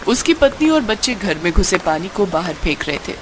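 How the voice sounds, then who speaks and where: A woman narrates a scripted story. Her pitch is in the middle range, and there is clear background noise and ambience. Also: sing-song, fairly quick.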